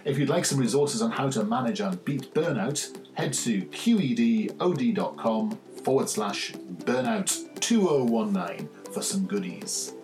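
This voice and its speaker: A man hosts a podcast in a middle-pitched voice, steady and measured, with pauses and "um"s between his phrases. His voice rings in an echoey room. Faint music plays behind him. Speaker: podcast host. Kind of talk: podcast intro and interviewing.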